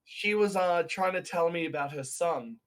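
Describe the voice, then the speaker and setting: A man's voice: on the high side, moderate pace, a bit bright. A man chatting casually and telling stories. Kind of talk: casual chat.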